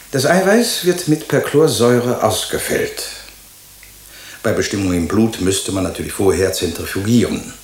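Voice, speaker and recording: An actor playing a courteous, pedantic laboratory chemist in a radio drama, explaining things precisely and deferentially. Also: male voice, mid-range voice. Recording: roomy echo, faint hiss.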